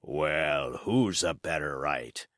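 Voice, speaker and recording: Man as old storybook gamekeeper, very low growl, gruff, grumbling, rustic, blunt. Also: slow, a bit bright, no echo, studio quality, full character voice.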